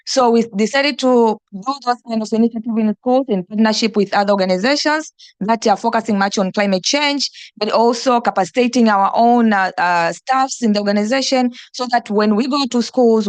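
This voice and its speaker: A woman's voice: on the high side, fairly quick, few pauses, no room sound. Tanzanian woman speaking on a panel. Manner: hesitant, many fillers and restarts. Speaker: NGO executive director and teacher. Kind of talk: panel discussion answer.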